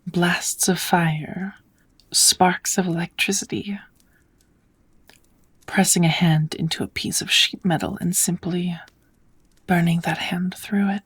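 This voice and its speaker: Woman playing a breathy, low-voiced kind witch. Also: steady tone, heavily acted. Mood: calm, patient. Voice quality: soft, breathy, often whispered. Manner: gentle, measured, instructive, slightly formal. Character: kind, patient witch teaching schools of magic. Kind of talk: whispered ASMR roleplay lesson.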